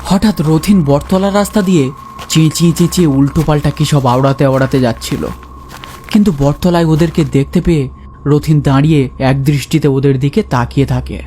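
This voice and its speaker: A man's voice: a little high, brisk, warm tone, a little hiss or hum. A man narrating a scripted, dramatic ghost story for a cartoon.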